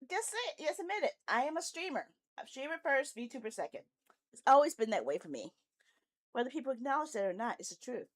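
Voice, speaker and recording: Rambling woman livestreamer chatting casually. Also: mid-range voice. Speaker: video game livestreamer, host.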